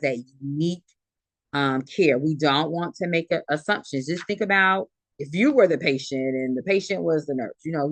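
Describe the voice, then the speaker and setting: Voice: mid-range voice, no room sound. A nursing instructor giving a recorded classroom lecture in a conversational way, restating each point and using many fillers.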